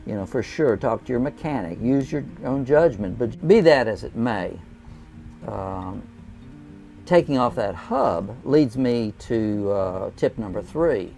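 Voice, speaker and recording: Gravelly older man giving camping tips. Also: mid-range voice, expressive, mellow, faint music behind. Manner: plain, folksy, unhurried with frequent "uh" fillers. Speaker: RV travel vlogger. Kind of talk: casual how-to talk to camera. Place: inside a camping trailer, small room. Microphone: clip-on lapel mic.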